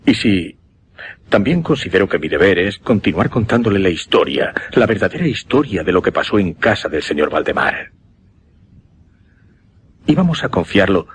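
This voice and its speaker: A low, dramatically swooping Castilian Spanish voice belongs to a grave gentleman witness in a horror radio play. He halts and dwells on each dreadful word, haunted by what he saw. There is clear noise on the recording. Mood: terrified. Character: grave, horrified gentleman witness recounting a ghastly death. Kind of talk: suspense radio drama acting.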